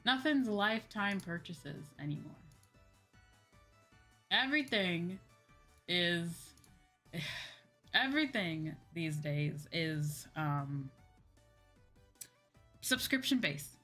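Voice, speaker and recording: A woman's voice: lowish voice, expressive, very slow, long pauses, pushed and bright, faint music behind. A woman livestreamer chatting casually and ranting in a dramatic, exasperated way, repeating phrases for emphasis. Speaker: livestreaming VTuber host.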